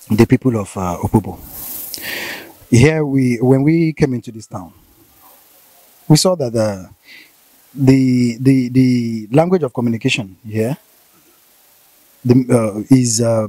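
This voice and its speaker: A man's voice: medium pitch, a little slow, pauses often, warm tone, dry recording, poor audio. A man in his thirties with a Nigerian accent, hosting a conversational interview with probing, hesitantly phrased questions. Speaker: interviewer, host. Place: outdoors, seated by corrugated metal fence. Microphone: handheld interview mic.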